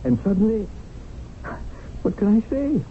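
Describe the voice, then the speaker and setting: Jaunty, grandiose English gentleman for radio drama, very animated delivery, narrow vintage broadcast sound. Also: high-pitched, a little slow. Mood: smitten, theatrical. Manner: florid, grandiose declarations. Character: jaunty English gentleman, theatrically lovestruck, drily scheming. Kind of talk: 1970s radio drama acting. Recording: noisy narrow-band old radio broadcast.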